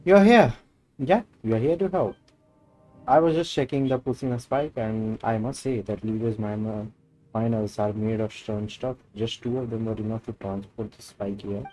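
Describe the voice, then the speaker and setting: A man's voice: on the low side, normal speed, dark tone, faint music behind, low-quality recording. A man with an Indian accent live-streaming a game, switching between English and Hindi as he reads story dialogue aloud and comments.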